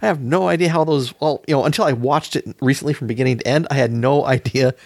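A man's voice: mid-range voice, fast, darkish tone, no room sound. A man talking casually as a panelist in a discussion about films. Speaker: podcast panelist.

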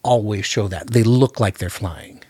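Man in dry, slightly husky podcast chat. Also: fairly low pitch, a little slow, warm tone, no room sound. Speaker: podcast host. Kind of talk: casual film discussion.

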